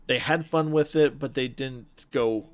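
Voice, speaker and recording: A man's voice: mid-range voice, a little slow. A man co-hosting a podcast, talking casually in an informal, slangy and agreeable way. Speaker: podcast co-host. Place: recorded remotely, separate from co-host. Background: background music.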